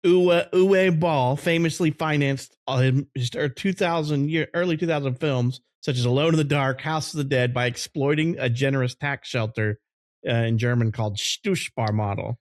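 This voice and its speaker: A man's voice: medium pitch, moderate pace, no room sound, clean studio sound. A man co-hosting a podcast, chatting casually and reading out facts about movies. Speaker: podcast co-host.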